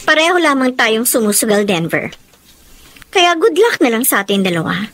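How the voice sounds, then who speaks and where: A woman's voice: on the high side, big pitch swings, moderate pace, fairly bright tone, full character voice. An actress playing a scheming, controlling woman in a Filipino radio drama, coaxing and condescending, with a Filipino accent. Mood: silky, calculating. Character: scheming, silky woman who controls a married man.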